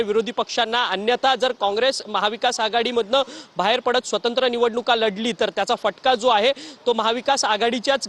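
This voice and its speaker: Very high-pitched male news correspondent. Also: fairly bright tone. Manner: halting, wordy, repeats phrases. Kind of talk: unscripted live news report.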